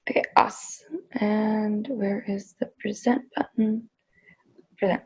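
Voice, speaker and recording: A woman's voice: medium pitch, fairly even intonation, measured pace, slightly rough, rough recording. A young woman from Canada giving a group presentation over a video call, a little hesitant, with fillers and apologies. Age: young adult. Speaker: youth presenter.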